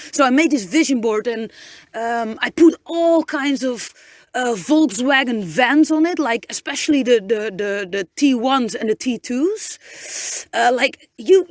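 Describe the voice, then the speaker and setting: Woman, young, Dutch accent, casual podcast host, word-searching restarts, slightly high voice, dry close sound. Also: a bit bright, dry recording. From Netherlands. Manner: chatty, searching for words, many restarts and fillers. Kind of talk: casual solo podcast monologue. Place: inside a camper van in the rain.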